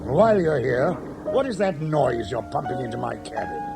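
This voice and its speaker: Gravelly, pompous starship captain. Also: male voice, medium pitch, very animated, normal speed, loud music behind the voice. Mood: irritated. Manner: imperious, barking, petulant. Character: pompous, irritable, self-indulgent alien starship captain with several chins. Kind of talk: British radio science fiction drama.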